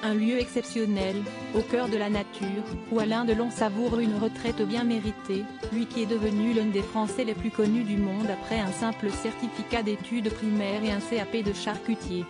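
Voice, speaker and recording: A woman reading a scripted celebrity news narration in an even, synthetic-sounding way. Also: medium pitch, moderate pace, few pauses. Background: background music.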